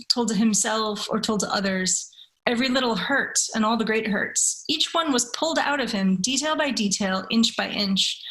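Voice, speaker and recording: A woman who speaks in a measured, deliberate way, with careful phrasing. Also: a little high, fairly bright tone, poor audio. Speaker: story reader. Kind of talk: dramatic literary reading, then casual discussion.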